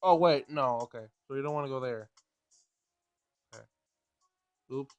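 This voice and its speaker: A man hosting a gaming video, casually thinking out loud in a tentative way as he plays and reads. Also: medium pitch, normal speed, clear, resonant tone. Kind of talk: casual let's play commentary and read-aloud game text.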